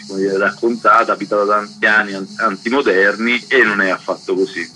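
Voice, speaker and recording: A man speaking as an interviewed author, explaining in a measured, analytical way on the radio. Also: fairly low pitch, sharp tone, a little hiss or hum, poor audio. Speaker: book author being interviewed.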